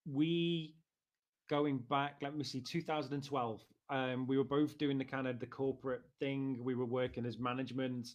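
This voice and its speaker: A man's voice: mid-range voice, flat delivery, moderate pace, lo-fi sound. A man in his thirties with a Liverpool accent, chatting as a podcast guest in a rambling way with many fillers. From Liverpool, England. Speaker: interview guest. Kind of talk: casual interview chat.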